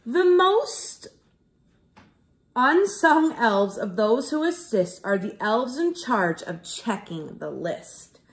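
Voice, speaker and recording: A woman reads to young children like a classroom teacher. Her voice sits a little high and swings expressively up and down. She goes slowly, keeping everything simple and clear to follow. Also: sing-song. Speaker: teacher reading a storybook aloud. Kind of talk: children's read-aloud storytelling.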